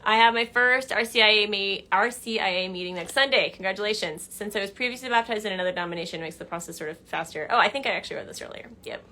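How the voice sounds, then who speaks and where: A young woman answering viewer questions in a casual livestream chat from her living room couch, animated and chatty. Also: medium pitch, fast, few pauses. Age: young adult. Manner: chatty and animated, gestures a lot, laughs while telling stories. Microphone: phone camera at arm's length.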